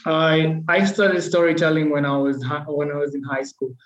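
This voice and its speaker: A man, a podcast guest telling his life story in an earnest, reflective, measured way. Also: a little high, flat delivery. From Kenya. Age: 20s. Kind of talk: personal storytelling in an interview. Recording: echoey, dull lo-fi recording.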